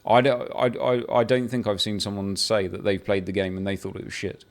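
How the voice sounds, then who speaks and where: Rambling low-voiced man talking games. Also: a little fast. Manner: rambling, with frequent restarts and swearing, building to excited outbursts. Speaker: gaming video creator. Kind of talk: casual unscripted game talk to camera.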